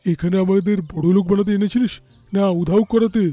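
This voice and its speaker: A man's voice: sits high, brisk, dark tone, dry recording, soft background music, lightly acted. A man playing a brash, exclamatory businessman in a cartoon. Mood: startled. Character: brash, easily startled businessman landowner.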